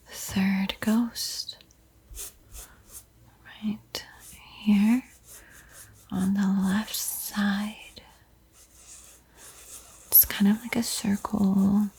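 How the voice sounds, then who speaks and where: Softly whispering woman, slow and breathy. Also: medium pitch. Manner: slow, gentle, pausing often. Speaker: host of an art tutorial. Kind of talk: whispered ASMR painting tutorial and storytelling.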